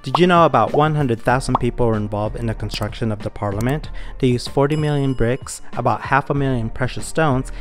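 A man reading scripted voice-over narration in a measured, informative way. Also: medium pitch, soft background music.

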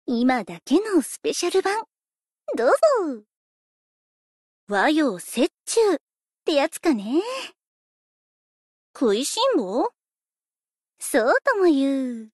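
A woman's voice: high-pitched, big pitch swings, slow, long pauses, a little mellow, no room sound, studio quality, exaggerated voice. A woman playing a playful, laid-back young pop idol in scripted game lines, coaxing and lightly teasing in a breezy way.

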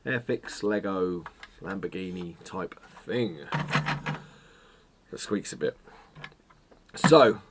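A man's voice: deep voice, takes it slowly, pauses often. Chatty man, faint room noise. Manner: chatty, self-mocking, jokey asides. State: slightly hoarse from a cold. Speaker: photography vlogger. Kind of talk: casual chat to camera. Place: home studio room, indoors.

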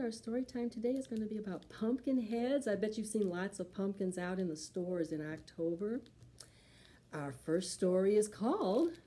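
A middle-aged woman hosting a preschool storytime, reading and talking to young children in an expressive, sing-song way. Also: fairly high pitch. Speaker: library storytime host for preschoolers. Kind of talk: children's storytime, singing and reading a picture book aloud.

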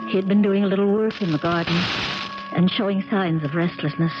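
An actress playing a concerned, loyal niece in a 1970s radio drama, speaking earnestly with careful diction. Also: female voice, medium pitch, fairly even intonation, brisk, over music, close to an everyday voice. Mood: reflective. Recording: dull, crackly 1970s radio recording.

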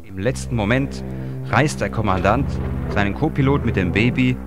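A man's voice: fairly low pitch, moderate pace, music in the background. A man narrating a scripted adventure audio drama for children.

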